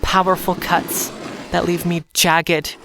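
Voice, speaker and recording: A woman's voice: on the low side, little pitch movement, takes it slowly, background noise. A woman playing a defiant young street fighter who tells their story in a noir audio drama. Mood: somber, intense. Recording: dramatic music behind.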